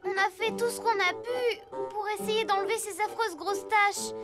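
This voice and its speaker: A little cartoon elephant girl, with a very high, childlike voice over loud music. She is bossy and emphatic, easily exasperated. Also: steady tone, normal speed. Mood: dismayed. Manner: scolding, emphatic. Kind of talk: French children's cartoon dub.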